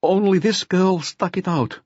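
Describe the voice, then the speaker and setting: A man's voice: high voice, measured pace, a little mellow, slightly rough, dry recording, lo-fi sound, voice pushed up. A man voicing a bitter, self-loathing drunkard who confesses with agitated self-pity in an audiobook. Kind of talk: audiobook character acting.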